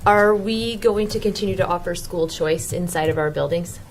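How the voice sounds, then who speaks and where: A woman's voice: lowish voice, normal speed, faint music behind. A woman committee member asking questions at a meeting, hesitant and apologetic.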